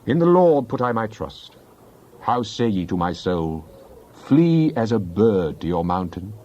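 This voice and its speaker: A man giving a dramatized Bible reading in a solemn, declamatory manner with deliberate phrasing. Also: on the low side, very animated, dark tone, dull audio. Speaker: scripture narrator.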